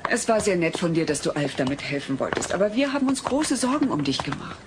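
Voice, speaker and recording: A woman's voice: fairly low pitch, sing-song, quick pace. An actress playing an earnest, no-nonsense middle-aged mother in a dubbed sitcom audio play. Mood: worried. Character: worried, no-nonsense suburban mother. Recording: noisy old cassette audio.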